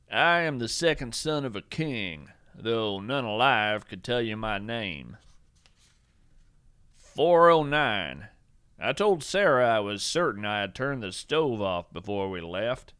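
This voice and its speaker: A man playing an eccentric, earnest naturalist who hosts a scripted fiction podcast, speaking in a measured, theatrical, thoughtful way. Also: medium pitch, fairly bright tone, clean tone, dry recording, studio quality. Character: eccentric male naturalist host, musing, earnest, whimsical. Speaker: podcast host and narrator. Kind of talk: scripted fiction podcast narration with poetry readings.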